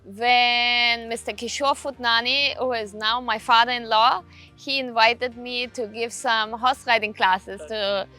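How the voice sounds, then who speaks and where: A woman in her thirties from Austria with an Austrian accent, a riding instructor speaking in a measured way in a documentary interview. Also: fairly high pitch, steady tone, sharp tone, faint music behind. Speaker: riding instructor and leadership coach.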